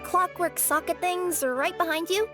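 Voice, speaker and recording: A woman's voice: very high voice, steady tone, a little slow, bright, forceful tone, soft background music, full character voice. A woman playing a young, innocent, anxious girl in a fantasy game, with a wide-eyed, hesitant delivery. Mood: puzzled. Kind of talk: video game voice acting.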